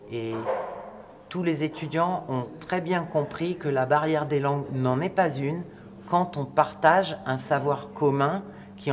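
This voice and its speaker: A person explaining a project in an interview, in a measured and explanatory way. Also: medium pitch, slight background noise.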